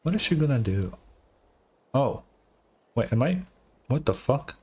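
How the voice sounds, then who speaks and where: Low-key man reacting to a game. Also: sing-song, unhurried, warm tone, breathy edge. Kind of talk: casual reaction commentary.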